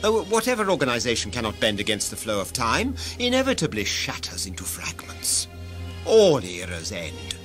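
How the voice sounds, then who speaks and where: An actor playing a ruthless, ambitious corporate director in a science-fiction audio drama, commanding and grandiose. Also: male voice, medium pitch, wildly swooping pitch, normal speed, fairly bright tone. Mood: grandiose, driven. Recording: music behind the voice.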